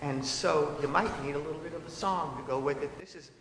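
High-voiced man rallying a singalong, echoey hall. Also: brisk, a crowd in the background. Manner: folksy, exhorting. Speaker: folk singer leading a singalong. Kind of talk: spoken song introduction and audience coaching. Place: large indoor hall at a public convocation. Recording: echoey hall with music behind.

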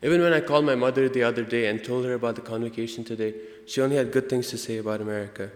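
A young man with an Indian accent gives an earnest prepared student speech, halting now and then to correct himself. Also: medium pitch, steady tone. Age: young adult. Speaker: student speaker. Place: large indoor hall, convocation. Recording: echoey hall with long reverb.

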